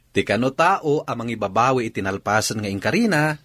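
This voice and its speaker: A man's voice: mid-range voice, expressive, measured pace, a bit bright, husky, dry recording, clean studio sound. An actor with a Filipino Ilocano accent playing a talkative, teasing man in a radio comedy-drama.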